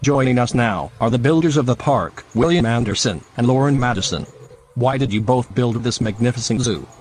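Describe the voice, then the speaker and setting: A man's voice: medium pitch, lively intonation, fairly quick, darkish tone, slight background noise, natural voice. A man playing a breaking-news anchor, reading scripted mock TV news in a formal, composed way.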